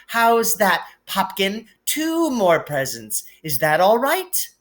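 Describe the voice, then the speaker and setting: A man acts out a doting, fussy mother from a storybook, lifted high above his own pitch. The manner is sugary and coaxing, always placating a precious child. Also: wildly swooping pitch, slow, a bit bright, heavily acted. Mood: hastily placating.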